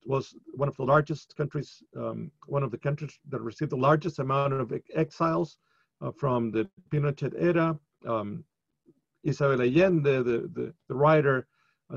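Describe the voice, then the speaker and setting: A man's voice: mid-range voice, gravelly, dry recording. A middle-aged man speaking as a panelist in an academic webinar, hesitant with many ums and restarts, on a video call from a home study. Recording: dull, dry video call audio.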